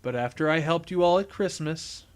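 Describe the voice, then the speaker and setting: A man voicing a cool, calculating criminal in a story, with a controlled, smug, measured drawl. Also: on the high side, natural voice.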